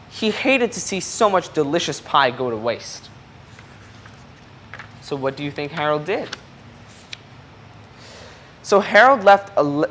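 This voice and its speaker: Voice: medium pitch, a bit bright. Storybook reader with noise in the background. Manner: explanatory, asks the listener questions. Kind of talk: expressive read-aloud of a children's picture book, with asides to young listeners.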